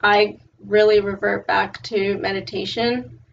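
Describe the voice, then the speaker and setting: A woman's voice: mid-range voice, flat delivery, measured pace, gravelly. A young woman from California, a student, speaks as a guest in a casual chat, thoughtful with some fillers. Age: young adult. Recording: distant dull lo-fi mic with faint hiss.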